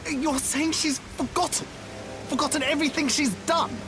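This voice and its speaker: A woman's voice: high voice, steady tone, normal speed, over music, close to an everyday voice. An actress playing a fearful, outraged village woman in a game cutscene, dramatic and accusing. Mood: aghast. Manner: dramatic, aghast. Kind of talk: video game cutscene acting.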